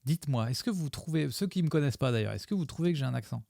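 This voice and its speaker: A man's voice: on the high side, lively intonation, rapid-fire, creaky, dark tone, no room sound, studio quality. A man streaming live, commenting on his own gameplay video with a chat, rambling and joking as he thanks his followers.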